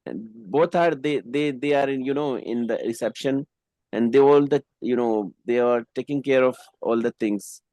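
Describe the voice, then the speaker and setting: A man speaking in a relaxed, casual way, pausing often and filling gaps with "you know". His voice is mellow and dark. The recording is dry, with no room sound. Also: medium pitch, no room sound, rough recording. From India. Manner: relaxed, hesitant, frequent "you know" fillers. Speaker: English conversation partner. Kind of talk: casual conversation practice.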